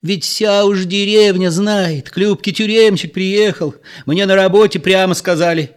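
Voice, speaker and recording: A man voicing a hysterical, shrill village woman. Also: unhurried, clear, resonant tone. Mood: excited. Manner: shrill, excitable.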